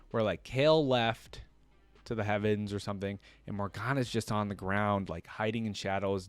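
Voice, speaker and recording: A man's voice: mid-range voice, smooth, no room sound. A man hosting a reaction, switching between reading a story aloud and informal commentary where he thinks aloud. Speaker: reaction video host.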